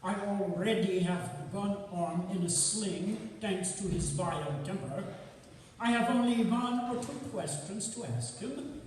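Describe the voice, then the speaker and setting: A man's voice: high voice, darkish tone. Man playing a sinister professor in an echoey hall. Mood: smug, taunting. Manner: deliberate, commanding, mocking. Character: sinister male professor, a menacing, commanding hypnotist villain. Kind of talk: old-time radio drama stage acting. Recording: distant, echoey hall, hissy live recording.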